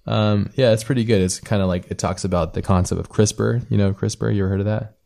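A man's voice: lowish voice, fairly even intonation, brisk, creaky, dark tone. A man hosting a casual interview, rambling a little as he searches for words. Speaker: podcast host and interviewer.

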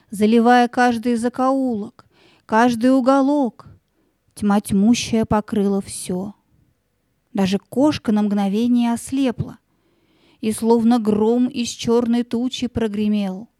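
A woman narrating a fairy-tale audio play in an expressive, theatrical storytelling manner. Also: on the high side, slow pace, no echo, studio quality.

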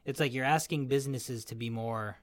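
A man's voice: mid-range voice, a little fast. A young man co-hosting a podcast, chatting casually and rambling, with many "likes" and restarts. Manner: rambling, heavy use of "like", frequent restarts. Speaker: podcast co-host. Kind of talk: casual chat.

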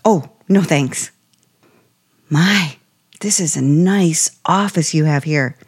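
An actress playing a timid, polite young aspiring writer, halting and nervous, in 1950s radio suspense style. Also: female voice, low-pitched, breathy edge, exaggerated voice. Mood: polite, shy.

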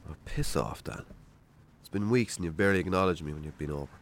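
Man playing a gruff, low-voiced Irish farmer. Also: fast, a little hiss or hum. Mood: defensive, apologetic. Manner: gruff, curt, sweary. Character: troubled, defensive, hard-drinking Irish farmer, adult son. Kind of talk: naturalistic radio drama acting.

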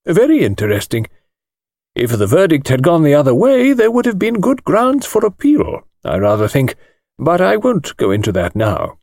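A man's voice: a little high, wildly swooping pitch, normal speed, creaky, a little mellow, no echo, clean studio sound, pitched above the performer's own voice, full character voice. A man voicing an elderly British lawyer in an audiobook, with a thin, precise, hesitant and musing delivery. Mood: thoughtful, precise. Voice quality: thin, small voice.

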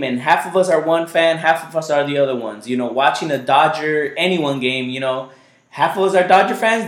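A man hosting a casual podcast chat, rambling loosely and repeating his words, whose first language was Spanish. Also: a little high. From United States. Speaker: podcast host. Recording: echoey room.

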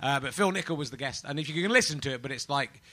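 A man's voice: mid-range voice, quick pace, pushed and bright. A man, hosting a live comedy podcast in front of an audience, teasing and laughing often. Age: 40s. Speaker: podcast host.

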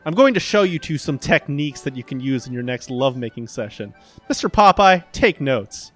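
A man's voice: medium pitch, lively intonation, brisk, a bit bright. Smug burly quack therapist, played by a man, cartoon heavy, chiding and sure of himself, over music. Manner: smug, chiding, pseudo-professional. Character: big bearded cartoon villain posing as smug sex therapist.

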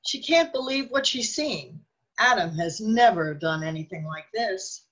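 A woman narrates a radio drama from a script. Her voice is husky and sits at a medium pitch as she sets out the action. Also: expressive, a little slow, fairly bright tone, muffled recording.

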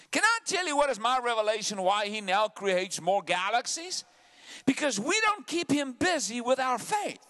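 A South African man preaching a sermon to his church, dramatic, dropping to hushed asides and then climbing loudly. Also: very high voice. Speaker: pastor.

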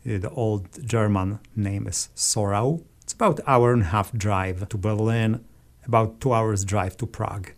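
A man from Poland with a Polish accent, a guest organist calmly explaining things in a radio interview. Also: fairly low pitch, unhurried.

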